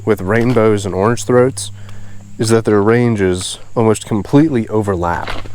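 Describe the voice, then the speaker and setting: A man with a fairly low voice that is clearly congested and nasal. He narrates an outdoor vlog casually, with the easy enthusiasm of a hobbyist host, sounding sniffly as he talks. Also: measured pace, darkish tone, slight background noise. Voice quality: nasal, stuffed-up. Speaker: host of a fishing video series. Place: outdoors beside a small creek.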